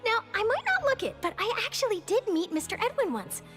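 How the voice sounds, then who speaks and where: An actress playing a bubbly, polite young woman reporter in a video game, eager, flattering and animated. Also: very high-pitched, a little fast, faint music behind, exaggerated voice. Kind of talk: video game character dialogue.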